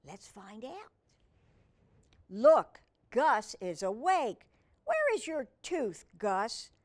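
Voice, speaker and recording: A woman with a fairly high voice reads a picture book to young children. She takes her time, with a very slow pace and lively swings in pitch. Her wording is simple, and she often turns to the listener with questions. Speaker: children's storyteller and humane educator.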